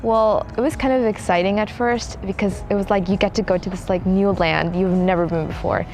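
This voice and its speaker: Woman from ecuador, casual and youthful, says "like" a lot, mid pitch. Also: steady tone, a little fast, darkish tone, faint music behind. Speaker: documentary interviewee. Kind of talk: casual personal storytelling.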